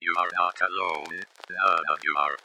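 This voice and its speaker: A man playing a mysterious, menacing being from another time in an analog horror drama, speaking calmly and deliberately. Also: low-pitched, slight background noise. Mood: calm, ominous. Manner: slow, deliberate, ominous speech.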